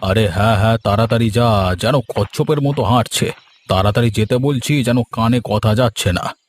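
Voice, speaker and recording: A man's voice: fairly low pitch, moderate pace, close to an everyday voice. A man playing a short-tempered, threatening village master and father in a cartoon, with a scolding, imperious way of talking. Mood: impatient, scolding. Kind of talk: cartoon voice acting.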